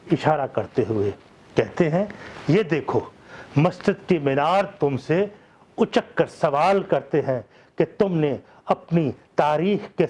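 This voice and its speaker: High-voiced man reciting with passion. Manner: swells loud and slow on key phrases, drops low and quiet after. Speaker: host reciting a historic speech. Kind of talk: impassioned oratorical recitation.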